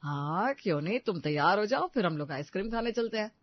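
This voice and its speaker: A woman's voice: mid-range voice, expressive, a little fast, no echo, dull audio, natural voice. A woman playing an earnest, protective young husband and stepfather in a story, speaking firmly. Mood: gentle, reassuring. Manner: firm, earnest.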